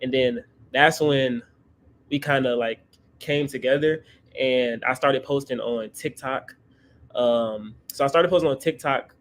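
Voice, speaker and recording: A man's voice: mid-range voice, unhurried, slight background noise. A 24-year-old man, an interview guest who teaches about credit, talking casually and often repeating himself. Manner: repetitive, leans on "right?".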